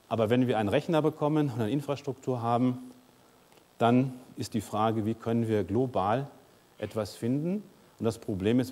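A man's voice: lowish voice, mellow. A man in his fifties giving a conference keynote in a large lecture hall, speaking conversationally with frequent "uh" fillers. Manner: gestures and moves about the stage while speaking. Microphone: lapel mic. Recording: long hall reverb.

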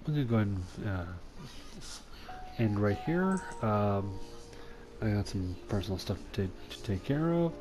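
Slow, lowish-voiced male gamer. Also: big pitch swings, music in the background, rough recording. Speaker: gaming video host. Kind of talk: casual gameplay commentary.

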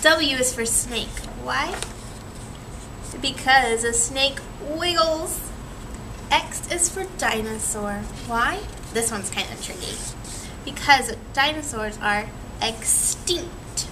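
Young woman reading a kids book out loud, teachery w lots of questions, high voice, far from mic w background noise. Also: slow pace. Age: young adult. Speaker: reader of a children's picture book. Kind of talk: read-aloud to a group of children, with questions. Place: small indoor room, seated in a chair. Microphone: camera a few metres away. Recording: distant camera mic, clearly noisy room.

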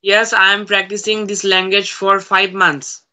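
Hesitant teenage boy, bright flat voice. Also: high voice, a little slow. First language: Bangla. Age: teens. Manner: hesitant, many fillers and restarts. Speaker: student learner. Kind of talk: English conversation practice on a video call. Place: room at home. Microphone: phone on a video call.

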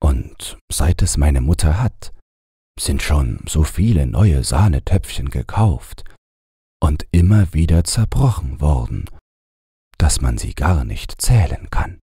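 Very deep, husky male storyteller. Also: dry recording, clean studio sound. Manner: measured, expressive storytelling. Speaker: audiobook narrator. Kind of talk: scripted fairy-tale narration.

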